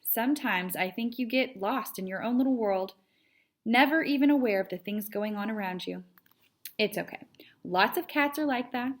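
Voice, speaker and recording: A woman's voice: on the high side, moderate pace, natural voice. A woman voicing a stubborn, self-assured female storybook cat who talks in a matter-of-fact, condescending and dismissive way. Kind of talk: children's storybook character read aloud.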